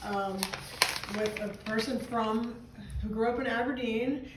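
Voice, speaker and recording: A man with a notably high voice steps through a list of prepared interview questions, keeping his prompts short. He sounds as if he is in an echoey room, with faint noise in the background. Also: steady tone, a little slow. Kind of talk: oral history interview.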